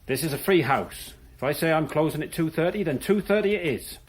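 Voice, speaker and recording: An actor playing a firm, curt village publican in a radio drama. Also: male voice, on the high side, animated, a little fast, a little hiss or hum, natural voice.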